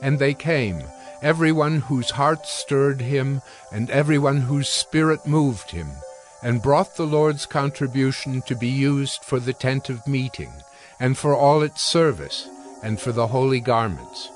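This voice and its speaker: Measured male scripture narrator over music. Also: mid-range voice. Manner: measured, formal storytelling in deliberate phrases.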